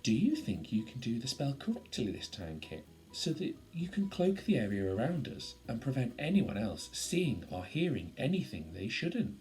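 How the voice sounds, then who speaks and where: A storyteller voicing a confident girl who leads her friends in a children's story, brisk, encouraging and commanding. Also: medium pitch, big pitch swings, darkish tone, soft background music, voice pushed up, natural voice, rough recording. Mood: reassuring.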